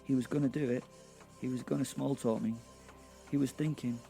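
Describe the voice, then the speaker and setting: A man's voice: medium pitch, steady tone, fairly quick, vocal fry, dark tone, soft background music. A man narrating a comic horror audio drama in the first person, with a wry, conversational manner.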